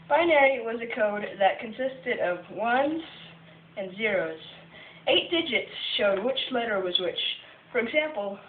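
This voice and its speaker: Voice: mid-range voice, lightly acted. Boastful puppet tycoon voiced by a youthful performer, tinny and distant. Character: puppet of a famous male tech entrepreneur, boastful, jokey. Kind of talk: scripted first-person puppet-show monologue. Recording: tinny phone video, roomy, distant, hissy.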